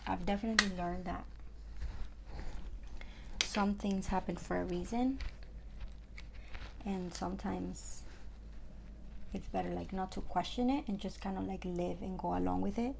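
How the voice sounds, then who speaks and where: A woman's voice: mid-range voice, fairly even intonation, unhurried, gravelly, pauses often, noisy background. A young woman from Ecuador with a Spanish accent, chatting casually through a makeup tutorial at home with lots of fillers. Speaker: makeup artist.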